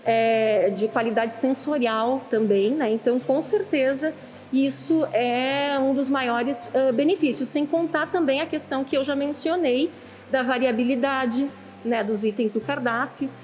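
A woman's voice: high voice, fairly even intonation, a little slow, a little mellow. A woman in her thirties with a Brazilian Portuguese accent talks chattily in an interview in a large kitchen, speaking into a handheld mic and tagging sentences with "né". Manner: chatty, frequent "né" fillers. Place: large kitchen with steel tables. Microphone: handheld reporter mic.

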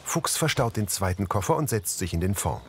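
A man's voice: lowish voice, big pitch swings, fairly quick, heavy background noise. A man reading a scripted audio description of a drama, narrating scenes in the present tense.

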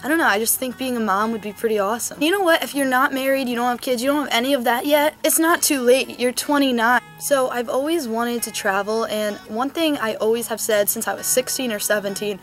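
A woman's voice: a little high, a bit bright, faint music behind. A nineteen-year-old woman talking casually and earnestly to the camera, filmed outdoors in a cold, sunny yard with a camera mic. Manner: chatty, earnest, quick asides. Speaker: vlogger. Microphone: camera mic, medium shot.

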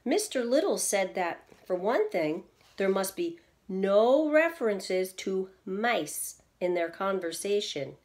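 A middle-aged woman reading aloud from a children's book like a teacher, addressing young listeners in a deliberate, explanatory way. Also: mid-range voice, very animated, darkish tone. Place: indoors at home, seated in an armchair in a small room.

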